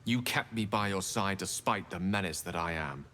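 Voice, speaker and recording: An actor playing a scholarly, guilt-ridden wizard in a video game, speaking in an eloquent, measured, theatrical storytelling manner. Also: male voice, deep voice, sharp tone, big-room sound. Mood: grave resolve. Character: wistful, guilt-ridden scholarly wizard man telling his past. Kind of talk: dramatic video game dialogue. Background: music.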